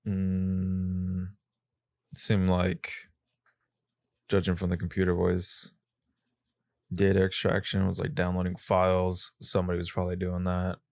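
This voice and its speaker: A man in a casual co-host chat, speaking in a tentative, low-key way. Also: monotone, a little slow, gravelly, long pauses, darkish tone, dry recording, studio quality. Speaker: podcast co-host. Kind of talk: casual chat, giving impressions.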